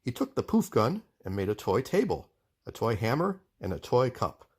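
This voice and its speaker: A man reads a children's storybook aloud as its narrator. His voice sits at a middle pitch and moves with very lively intonation. The delivery is expressive, scripted storytelling. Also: moderate pace, husky. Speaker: storyteller reading a children's book.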